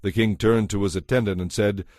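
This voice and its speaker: A man's voice: lowish voice, fairly even intonation, fairly quick, darkish tone, dry recording, studio quality. A man narrating scripture as a scripted, dramatized story, with a solemn storytelling delivery. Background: music.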